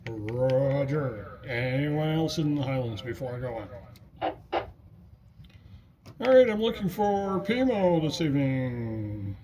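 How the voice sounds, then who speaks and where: A man's voice: medium pitch, sing-song, takes it slowly, pauses often, over a noisy crowd. A man acting as net controller, calling stations in turn in an orderly, procedural way on a ham radio net.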